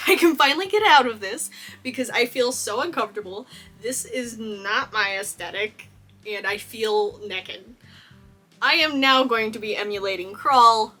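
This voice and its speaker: A woman's voice: fairly high pitch, sharp tone. A young woman from Texas, a cosplayer, chatting enthusiastically through a casual movie costume analysis and laughing often. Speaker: cosplayer and video host.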